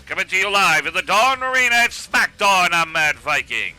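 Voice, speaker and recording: A man's voice: sits high, big pitch swings, normal speed, over music. A man playing a brash wrestling commentator in an amateur web cartoon. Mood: hyped. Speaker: sports commentator.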